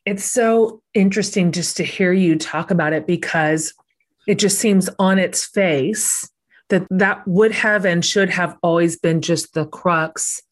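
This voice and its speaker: A woman host interviews a guest in a thoughtful, measured voice that sits on the lower side. She stretches out key words for emphasis as she builds her questions. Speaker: podcast host. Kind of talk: interview conversation.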